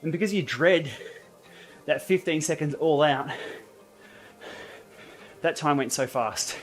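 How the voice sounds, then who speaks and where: A man chats loosely while riding an indoor trainer, his voice sitting a little high for a man. He reads out viewer comments and answers them in an easygoing way, with faint music running underneath. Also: slow pace. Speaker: livestream host. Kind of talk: casual live chat while riding.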